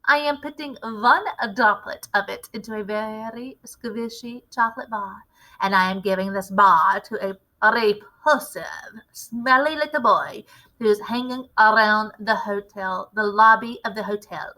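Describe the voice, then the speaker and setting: A woman voicing an imperious, gloating Grand High Witch with a theatrical, deliberate, commanding delivery in a storybook reading. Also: pushed and bright, low-quality recording.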